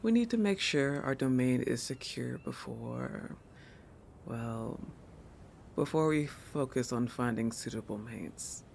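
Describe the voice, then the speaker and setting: A woman's voice: rumbling low, vocal fry, darkish tone, a little hiss or hum, heavily acted. A woman voicing a proud, possessive young shark girl in a roleplay monologue, with a haughty, teasing manner that keeps correcting itself. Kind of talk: roleplay audio monologue addressed to the listener.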